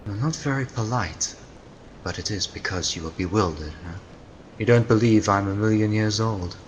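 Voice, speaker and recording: An actor playing a million-year-old immortal Neanderthal villain, theatrical and grandiose, in old-time radio drama style. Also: male voice, lowish voice, normal speed, a little hiss or hum, close to an everyday voice, rough recording. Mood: smug, taunting. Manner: theatrical, grandiose, menacing declamation.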